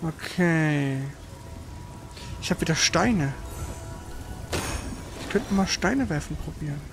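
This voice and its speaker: A man's voice: fairly high pitch, lively intonation, slow pace, creaky, over music. A man giving casual, colloquial gaming commentary as he plays, exclaiming at the game. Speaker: gamer, let's play host.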